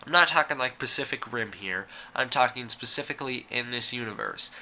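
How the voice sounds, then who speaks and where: A young man with a very bright, sharp voice rambles through his opinions in a casual video commentary. He is fighting a cold and fills his sentences with "uh" and "like". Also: mid-range voice, animated, fairly quick. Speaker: YouTuber.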